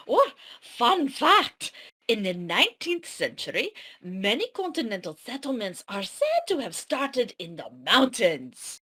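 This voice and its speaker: A woman's voice: a little high, big pitch swings, slow pace, sharp tone, husky. A woman acting out lines at an amateur dramatic table read.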